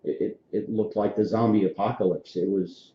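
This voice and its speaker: A man from Florida in his forties hosts a podcast in an easygoing, chatty way, full of little fillers. His voice sits low, recorded with a dull, lo-fi tone in a very echoey room. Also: fairly even intonation, normal speed, a little hiss or hum. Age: 40s. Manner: friendly, storytelling, frequent fillers. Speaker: podcast host. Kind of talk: casual interview chat.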